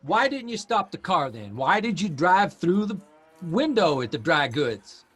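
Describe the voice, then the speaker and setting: An actor playing an earnest, worried grown son in a naturalistic audio drama, halting and exasperated but affectionate. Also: male voice, high voice, big pitch swings, a bit bright, breathy edge, exaggerated voice. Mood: pressing. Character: earnest grown son, a newspaper writer, worried about his aging father. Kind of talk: naturalistic audio drama acting at a live reading. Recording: music behind a live stage reading.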